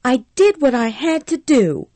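A woman's voice: high-pitched, big pitch swings, a little slow, dark tone, no room sound, voice pushed up, heavily acted. A woman reading a story aloud, voicing a headstrong young Southern belle who frets and pleads.

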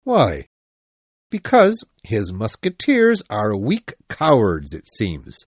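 A man playing a stern, proud, hot-tempered older captain of musketeers in an audiobook, with a forceful, commanding manner. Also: medium pitch, big pitch swings, measured pace, dry recording. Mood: bitter, serious. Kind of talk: audiobook dialogue acting.

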